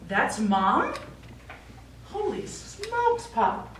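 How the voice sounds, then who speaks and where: A woman's voice: high voice, big pitch swings, drawn-out words, full character voice. Woman playing a grown daughter, distant noisy stage recording. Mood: teasing surprise. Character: grown daughter, teasing but businesslike with elderly father. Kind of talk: stage play acting. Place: small theatre stage, recorded from the audience. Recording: echoey theatre, far mic, noisy.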